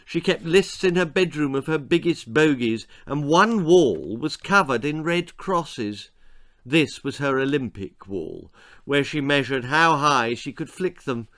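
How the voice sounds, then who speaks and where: A man reading a scripted children's story as its author, in a dramatic, comic, emphatic manner with pauses. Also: fairly high pitch, lively intonation, a bit bright.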